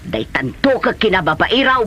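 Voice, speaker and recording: A woman's voice: mid-range voice, sing-song, normal speed, a bit bright, lo-fi sound, slight background noise. A woman acting as a stern, exasperated mother berating her grown son in an Ilocano comedy drama. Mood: scolding. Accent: Filipino, Ilocano.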